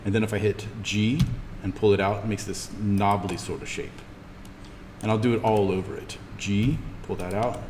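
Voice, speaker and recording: A man giving an informal in-class software tutorial, explaining step by step as he demonstrates. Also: lowish voice, steady tone, normal speed. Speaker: instructor. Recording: reverberant room with background noise.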